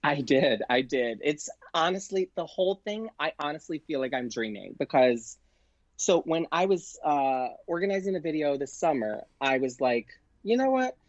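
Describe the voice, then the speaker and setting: A man's voice: fairly high pitch, moderate pace, no echo. Chatty man, slightly dull close recording. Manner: animated, acts out quoted exchanges. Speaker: podcast guest, performer and producer. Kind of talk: casual chatty storytelling.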